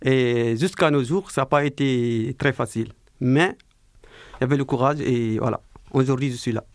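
A young man in his twenties from Guinea answers a radio interviewer. He speaks slowly and carefully, pausing to find his words. His voice sits at a middle pitch, and the recording is dry and close. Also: no room sound. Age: 20s. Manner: slow, careful speech with hesitations. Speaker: interview guest, apprentice baker.

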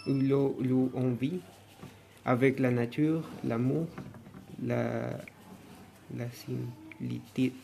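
A man from Mexico with a Mexican accent, a native Spanish speaker, speaking haltingly and repeating words as he composes aloud. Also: medium pitch, steady tone, creaky, mellow, soft background music.

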